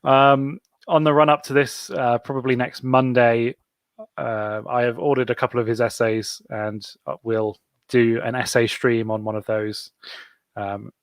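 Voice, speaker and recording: A man's voice: medium pitch, little pitch movement, a little slow, no echo. A man hosting a casual book club podcast, steering the talk and laughing often. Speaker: podcast host.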